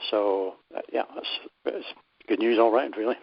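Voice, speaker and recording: Low-voiced European man on a muffled call. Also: moderate pace, vocal fry, husky, no room sound. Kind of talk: conference call discussion. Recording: dull, dry lo-fi call line.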